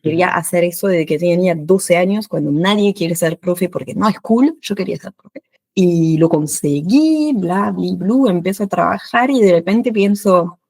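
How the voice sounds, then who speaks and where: A woman in her thirties from France, a French teacher who speaks Spanish with an Argentine accent in a casual chat, lively and laughing often. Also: mid-range voice, no room sound. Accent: Argentine Spanish with a French background. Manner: lively, laughs often, quick bursts of speech.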